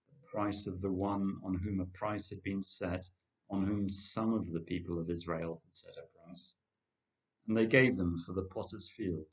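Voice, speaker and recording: An older man reads scripture aloud in a fairly low voice. His delivery is measured and deliberate. The recording is slightly muffled and lo-fi, made at home. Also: darkish tone. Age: older, 60s or above. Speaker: church congregation member reading scripture. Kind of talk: scripture reading, narration of a Gospel. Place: indoor home kitchen. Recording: dull lo-fi home webcam sound.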